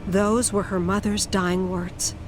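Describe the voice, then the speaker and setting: An actress playing a gentle, protective middle-aged adoptive mother in a video game, recounting her past in a measured, reflective way. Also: mid-range voice, level tone, over music, natural voice. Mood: wistful. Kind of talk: dramatic game cutscene narration of memories.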